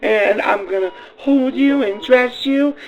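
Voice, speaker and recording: A man's voice: very high-pitched, slow pace, highs cut off, full character voice. A man voicing a fawning, scheming young housewife in a campy comedy radio play, gushing and stretching out words theatrically. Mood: overjoyed, shrieking.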